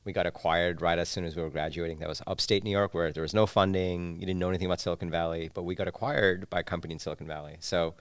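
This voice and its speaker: Deep-voiced man with a smooth tone. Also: very fast, few pauses, no echo. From Bangladesh. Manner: relaxed storytelling, chuckles at own stories. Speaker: guest, SaaS founder. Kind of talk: podcast interview. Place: studio couch.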